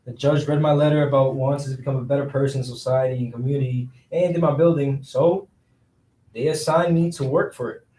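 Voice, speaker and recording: A man's voice: mid-range voice, fairly even intonation, brisk, warm tone, natural voice. A man in a staged play reading playing a cheeky, joking man who is out of work. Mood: dry, teasing. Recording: echoey room, far from mic.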